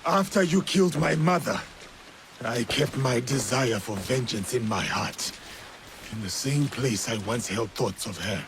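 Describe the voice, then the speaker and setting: A man's voice: medium pitch, animated, unhurried, slight background noise. A man voicing a vengeful, resolute samurai in a video game, solemn and defiant in manner. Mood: solemn resolve. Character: vengeful, resolute male samurai, a former slave. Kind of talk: dramatic video game acting.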